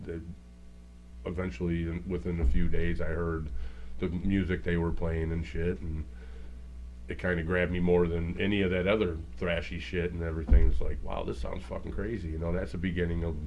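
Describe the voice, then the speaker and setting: A man's voice: low-pitched, dark tone, a little hiss or hum, low-quality recording. A man in his fifties telling long rambling stories in casual chat, swearing often. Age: 50s. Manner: rambling storytelling, heavy swearing. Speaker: guest.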